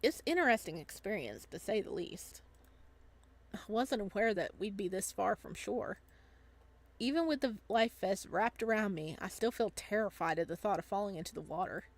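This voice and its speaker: Giggly gal doing a visual novel playthrough, reads it out n gushes, normal mid pitch. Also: female voice, expressive, normal speed. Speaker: streamer reading a visual novel aloud. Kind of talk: casual playthrough reactions and read-aloud narration.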